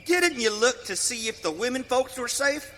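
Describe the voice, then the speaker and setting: An actor playing an excitable, worried frontier settler father with an Old in a western radio play. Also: very high-pitched, normal speed, exaggerated voice. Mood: angry, determined. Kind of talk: live western radio drama acting. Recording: reverberant hall, faint background noise.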